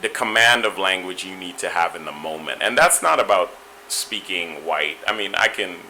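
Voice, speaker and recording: A man with a Caribbean accent talks in a very low yet bright, ringing voice. He answers interview questions in a thoughtful, measured way, often restarting a phrase and adding "you know." A faint hum runs underneath. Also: animated, low-quality recording. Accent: Caribbean, Guyanese and Trinidadian. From Guyana. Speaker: interview guest.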